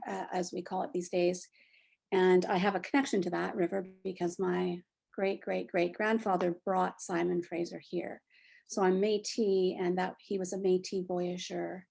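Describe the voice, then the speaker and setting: A woman in her sixties from Canada, a poet giving a short spoken introduction and then reading her poem aloud in a reflective, measured way. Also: fairly low pitch, gravelly, mellow, husky. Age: 60s. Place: near the Fraser River, British Columbia.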